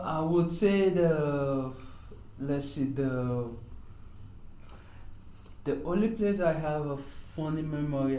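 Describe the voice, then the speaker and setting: A Nigerian man in his thirties, a Hausa speaker from the north, answering interview questions in a halting, unhurried way, letting sentences trail off softly. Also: mid-range voice. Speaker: podcast guest, professional footballer. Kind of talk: casual interview conversation. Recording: echoey room, faint background noise.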